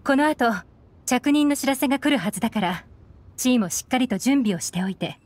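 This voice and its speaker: A woman's voice: sits high, animated, a little fast, no echo. A woman voicing a composed, assured female intelligence officer in a Japanese video game. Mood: calm, assured.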